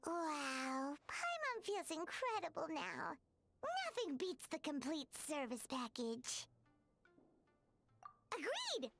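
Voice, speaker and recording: A tiny floating companion for a video game. The voice is extremely high and childlike, swooping all over the place with excitable exclamations. Music plays behind it. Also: female voice, takes it slowly, full character voice. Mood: delighted. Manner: exclamatory, refers to self in third person. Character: tiny childlike floating companion, excitable, food-loving, talks in third person.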